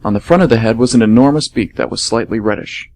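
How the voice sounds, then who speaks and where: A man's voice: medium pitch, quick pace, a little mellow. A man performing radio theatre, reading scripted story narration and announcements as a presenter.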